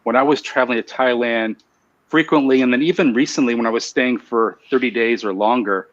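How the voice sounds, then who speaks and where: A male host chatting easily on a live show, at a middle pitch for a man. His voice sounds slightly muffled and dull, and he often circles back and restarts his sentences. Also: a little fast. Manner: easygoing, chatty, with hesitant restarts. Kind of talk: casual interview chat.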